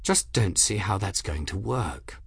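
A man voicing a bookish, distracted middle-aged gentleman in a storybook, mild, wordy and given to absent-minded muttering. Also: medium pitch, sing-song, a little slow, gravelly, no room sound, studio quality, pitched above the performer's own voice, heavily acted.

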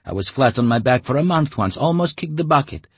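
A man voicing a brash, pushy male broker who haggles loudly. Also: mid-range voice, expressive, brisk, slightly rough, no room sound, pitched above the performer's own voice. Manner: loud, pushy, bargaining.

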